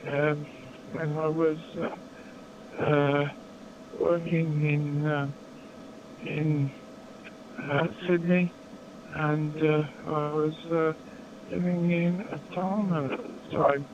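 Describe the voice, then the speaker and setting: English ham operator, man, slow and halting, somewhat high voice, noisy signal. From England. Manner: slow, hesitant, many ums. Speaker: radio amateur. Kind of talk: ham radio contact. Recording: muffled noisy radio audio.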